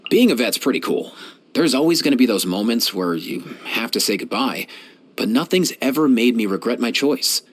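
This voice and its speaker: An actor playing a kindly, laid-back young veterinarian in a video game, talking in casual slang. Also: male voice, medium pitch, animated, moderate pace, close to an everyday voice. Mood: relaxed, friendly. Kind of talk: game voice lines, conversational.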